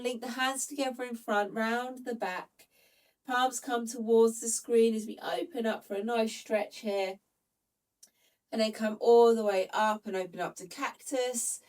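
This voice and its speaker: A woman leads a slow Pilates class in a gentle, steady voice, her nose a little stuffed up from hay fever. Her voice is picked up from some distance from the mic. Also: fairly high pitch. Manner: calm, gentle cueing. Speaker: Pilates instructor. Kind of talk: guided follow-along workout instruction.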